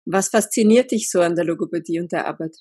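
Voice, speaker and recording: A woman's voice: fairly low pitch, quick pace, warm tone, husky, dry recording, studio quality. A woman who is a linguist hosting a podcast, asking her guest questions in an encouraging, enthusiastic way. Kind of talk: podcast interview.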